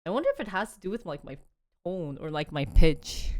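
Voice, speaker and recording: A young woman with a Korean accent, chatting on a casual live stream, animated and laughing often as she reads sample sentences aloud. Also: lowish voice, a little fast.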